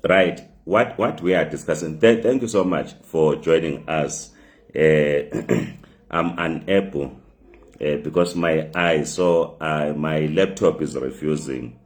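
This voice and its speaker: A man with a hoarse voice from the flu, hosting a live talk show from his phone, speaking haltingly with many fillers and repeated words. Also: extremely low pitch. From migrant living in South Africa.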